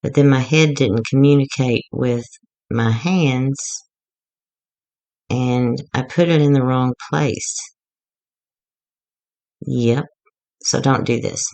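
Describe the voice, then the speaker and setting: A woman going hoarse as she gives a rambling, chatty, self-deprecating walkthrough of a craft project. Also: a bass voice, fairly even intonation, slow pace, pauses often, darkish tone. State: getting hoarse. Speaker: craft tutorial maker.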